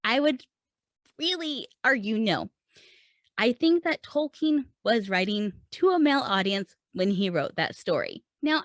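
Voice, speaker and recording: A woman's voice: mid-range voice, fairly bright tone, no echo. Dry-sounding woman coaching in podcast style. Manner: informal, explanatory, asks and answers own questions. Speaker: podcast host and writing coach. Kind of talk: conversational instructional monologue.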